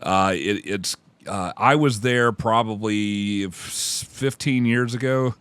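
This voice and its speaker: A man's voice: fairly low pitch, takes it slowly, a bit bright, smooth, no echo. Kentucky man hosting a podcast, dry sound. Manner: rambling, restarts, reads facts aloud. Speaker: podcast host. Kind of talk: casual podcast chat. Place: home theater room.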